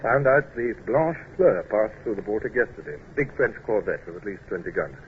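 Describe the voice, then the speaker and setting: An actor playing a weary, proud British naval commander who is gruffly kind, with hesitant, clipped speech and throat-clearing, in old-time radio drama style. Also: male voice, on the low side, animated, normal speed, lightly acted. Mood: encouraging. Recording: muffled noisy old radio recording.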